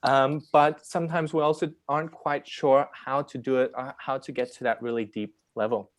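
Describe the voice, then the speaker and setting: A man's voice: mid-range voice, steady tone, moderate pace, no room sound. Hesitant male host, dry sound. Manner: hesitant, many uh and um fillers. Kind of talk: introducing a guest at an online session.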